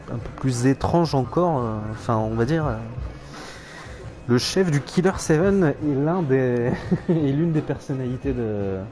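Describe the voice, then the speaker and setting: Man, slow unhurried talk, hesitant and ironic, music playing behind. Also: medium pitch. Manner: hesitant, full of fillers and self-corrections, dry irony. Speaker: streamer. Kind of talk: casual rambling game commentary.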